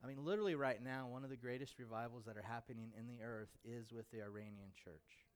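An American man, a pastor, speaking informally to his church about a mission trip in an animated, conversational storytelling way. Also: medium pitch, fast talker.

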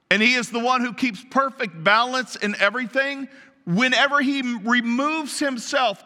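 A male pastor preaches in a naturally loud, emphatic voice, often asking his congregation questions. His voice sits high for a man and rings sharp and forceful. A long reverb trails after it, as in a large church sanctuary. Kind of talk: sermon.

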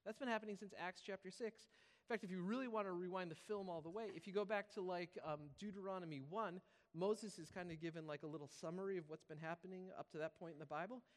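High-voiced male preacher in a reverberant hall. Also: steady tone, quick pace. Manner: repeats key phrases for emphasis. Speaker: pastor preaching to a congregation. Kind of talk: sermon, conversational and informal. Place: large reverberant room, preaching live to congregation.

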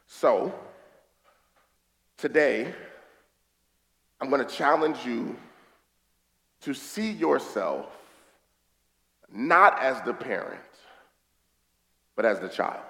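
A hoarse man preaching a sermon as a pastor, with stretches of quieter, hushed prayer into a stage microphone. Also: mid-range voice, sing-song, drawn-out words, long pauses. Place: church hall. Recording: echoey hall with faint guitar music.

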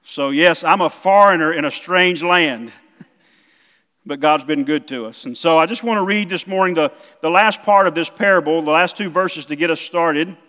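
Middle-aged South Mississippi preacher, halting delivery, slightly high voice, reverberant sanctuary. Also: male voice, sharp tone. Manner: halting, many fillers. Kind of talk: sermon. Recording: church hall reverb.

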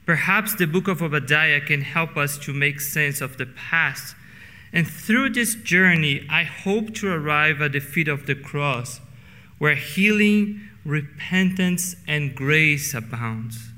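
A middle-aged Brazilian pastor preaches in English with a Portuguese accent, drawing out key words for weight. His voice is bright and sits a little high for a man. It rings out with the long reverb of a church hall. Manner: earnest, slows down for emphasis. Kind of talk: sermon. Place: church sanctuary.

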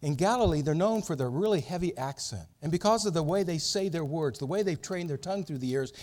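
Animated preacher with a higher voice. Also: male voice, fast talker. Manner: animated, dramatic storytelling with big swings in volume. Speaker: pastor. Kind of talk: expository sermon.

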